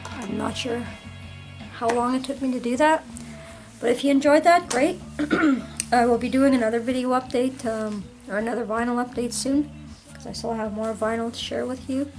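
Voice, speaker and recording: A woman chatting casually about her record collection, rambling with many ums, her voice hoarse and tired late at night. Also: on the high side, fairly even intonation, moderate pace, over music, low-quality recording. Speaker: vinyl collector vlogger. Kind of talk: casual show-and-tell vlog.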